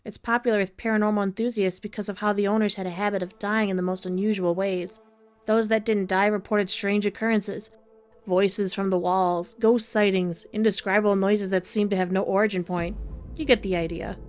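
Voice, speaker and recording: A woman's voice: medium pitch, steady tone, fairly quick, faint music behind, lightly acted. A woman playing an inn receptionist who recounts grisly local lore in a knowing, matter-of-fact way, in a horror audio drama. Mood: ominous, knowing. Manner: storytelling, matter-of-fact about grisly tales. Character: front desk lady, ominous teller of local lore.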